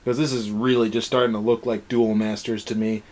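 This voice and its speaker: A man's voice: on the low side, flat delivery, a little fast, darkish tone. A young man hosting a casual review chat, rambling along in an exasperated way. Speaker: review show host. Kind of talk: casual rant-style episode review chat.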